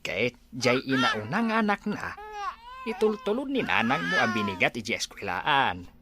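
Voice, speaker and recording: A woman's voice: extremely low pitch, sing-song, moderate pace, noisy background. A woman playing a grumbling, sighing village parent with an aching back in an Ilocano comedy drama. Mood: complaining.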